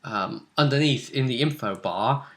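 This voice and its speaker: A British man with a bright, forceful voice at a middle pitch. He hosts as game master, chatting casually through a tabletop session recap and breaking into animated asides. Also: normal speed. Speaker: game master, host of a tabletop RPG vidcast.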